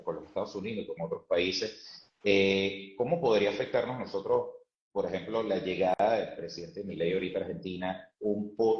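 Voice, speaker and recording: A man's voice: low voice, a little mellow. A man hosting a conversational interview, feeling his way through his phrasing with some hesitation. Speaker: host, interviewer. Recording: roomy, dull live stream audio.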